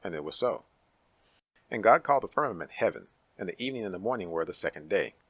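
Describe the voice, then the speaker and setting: A man's voice: deep voice, lively intonation, fast talker, a bit bright, slightly rough, no room sound. A man narrating scripture as a dramatic reading, in a solemn, declamatory manner.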